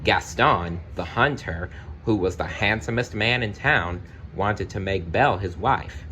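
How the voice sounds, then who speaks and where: A man reading a children's story aloud, with expressive, deliberate narration and the odd personal aside. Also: fairly low pitch, a little hiss or hum. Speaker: storybook reader.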